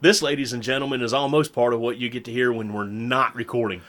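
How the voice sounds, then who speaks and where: A man's voice: mid-range voice, steady tone, fast, a bit bright. A man with a Southern US accent, co-hosting a podcast in a joking, chatty way. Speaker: podcast co-host. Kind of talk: casual chat.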